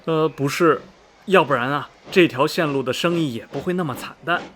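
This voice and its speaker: A man's voice: a little high, fairly quick, fairly bright tone, close to an everyday voice. A man playing a chatty, folksy middle-aged ticket clerk in a radio drama, rambling and exclaiming colloquially. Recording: faint background noise and ambience.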